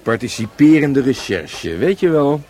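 An actor the playing a flippant, easygoing police sergeant undercover as a market trader in a radio drama. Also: male voice, mid-range voice, very animated, unhurried, darkish tone. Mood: wry, relaxed. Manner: flippant, wry.

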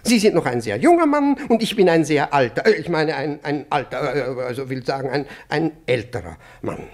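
An actor playing a pompous, fussy older male department chief in a radio play, halting and repeating himself. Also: on the high side, expressive. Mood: pompous, scolding. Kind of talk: German radio comedy acting.

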